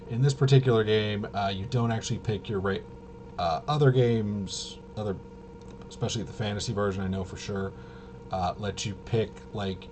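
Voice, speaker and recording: A man giving a casual, unscripted walkthrough as a host, rambling and halting, with muttered asides to himself. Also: on the low side, noisy background. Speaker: host of a tabletop RPG review video.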